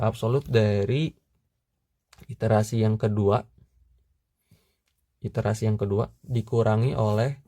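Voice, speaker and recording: A man's voice: lowish voice, vocal fry, no echo. A young man narrating a screen-recorded math tutorial, talking through steps methodically with frequent "eh" fillers. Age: young adult. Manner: steady, methodical, pauses with "eh" fillers. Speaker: student tutor.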